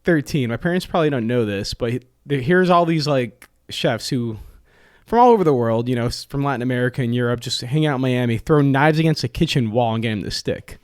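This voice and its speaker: A man's voice: mid-range voice, animated, fairly quick, a little mellow, no echo, studio quality. Chatty young man, Italian native speaker. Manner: chatty, joking, many fillers. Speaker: podcast guest, restaurant owner. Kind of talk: casual interview talk. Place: podcast studio.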